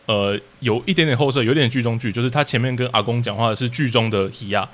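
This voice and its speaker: A man's voice: medium pitch, fast, fairly bright tone. A man with a Taiwanese Mandarin accent talking casually as an interview guest, explaining his work as a drama director analytically.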